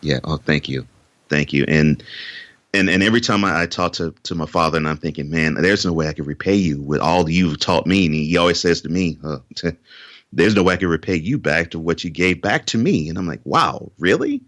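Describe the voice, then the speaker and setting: A man's voice: low voice, a little fast, a bit bright, no echo, studio quality. A man from Houston, Texas, talking earnestly as a podcast guest and often repeating words as he starts a sentence. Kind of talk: interview conversation.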